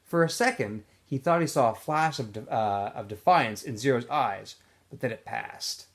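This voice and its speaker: A man, a teacher, reading a novel aloud in a relaxed way with small slips and fillers. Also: medium pitch, normal speed.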